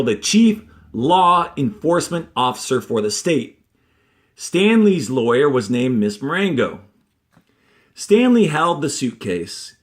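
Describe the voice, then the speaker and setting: An animated man reads aloud like a schoolteacher in front of young pupils. His pitch moves widely as he stresses key words, and his delivery is instructive and emphatic, slipping between reading and explanation. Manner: emphatic, instructive, checking in with "okay?". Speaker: fourth-grade teacher. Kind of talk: expressive read-aloud with teaching asides.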